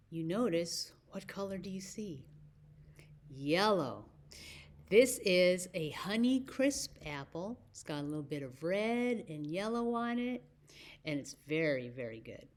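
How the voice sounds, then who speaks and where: A woman with an American accent hosting a children's story time, speaking deliberately as if to young listeners. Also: lowish voice. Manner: slow, deliberate phrasing with questions to young listeners. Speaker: library story time host.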